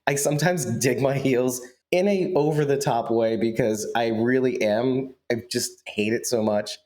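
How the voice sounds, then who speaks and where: A man with a middle-pitched voice co-hosts a podcast and talks casually. He jokes and teases a lot and breaks into laughs often. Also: measured pace, darkish tone. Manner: joking, teasing, laughs often. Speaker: podcast co-host.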